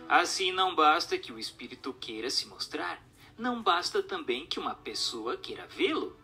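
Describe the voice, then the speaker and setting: A man delivers scripted narration in a bright, forceful voice that sits a little high. His intonation moves widely while his phrasing stays formal and instructive. Faint music plays underneath. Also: very animated, moderate pace. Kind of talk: scripted narration, reading an expository text.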